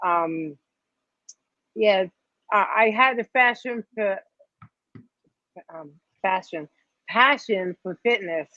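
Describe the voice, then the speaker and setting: Slow-talking middle-aged New York woman. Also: mid-range voice, pushed and bright, no echo. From Staten Island, New York. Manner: unhurried, trails into fillers and restarts. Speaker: guest. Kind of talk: casual interview answers. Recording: dry, dull, lo-fi sound.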